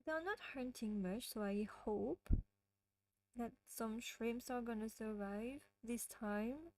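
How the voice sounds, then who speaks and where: A woman's voice: fairly high pitch, slow pace, a little mellow, no room sound, rough recording. A woman from France with a French accent, hosting a casual livestream and chatting with viewers, often giggling. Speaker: livestream host.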